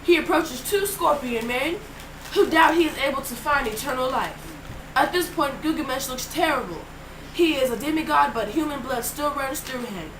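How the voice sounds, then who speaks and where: Teen girl narrator, playful, high-pitched. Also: lively intonation, normal speed, noisy background. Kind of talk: school project narration.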